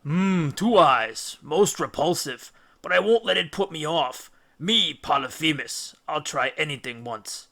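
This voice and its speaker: A man's voice: a little high, animated, measured pace, gravelly, few pauses, pushed and bright, full character voice. A man voicing a greedy, brutish one-eyed giant in a children's storybook, boastful and taunting as he speaks. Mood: musing, greedy.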